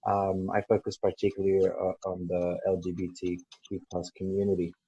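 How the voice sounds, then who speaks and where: Guy from el salvador talking about his coaching, pauses a lot with ums, kinda husky and lo-fi. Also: sits low, monotone, warm tone, no room sound. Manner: thoughtful, many ums and restarts. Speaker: guest, coach. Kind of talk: podcast interview.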